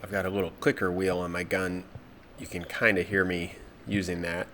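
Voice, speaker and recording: A man with a cold and a stuffed-up nose, narrating his spearfishing dive footage in a relaxed, matter-of-fact way. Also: deep voice, normal speed, a little hiss or hum. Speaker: spearfishing vlogger.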